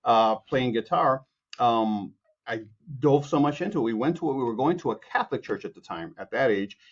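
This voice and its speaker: A man's voice: mid-range voice, expressive, normal speed. Man, from chicago, podcast guest, rambling answers, self-corrections, muffled lo-fi sound. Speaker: guest, composer. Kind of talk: casual interview answers.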